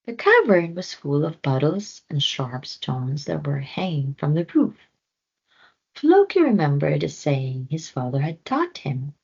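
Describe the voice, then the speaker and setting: Storytime reader, woman, low and husky, unhurried. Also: very animated, dull audio. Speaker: read-aloud storyteller. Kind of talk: children's storybook reading.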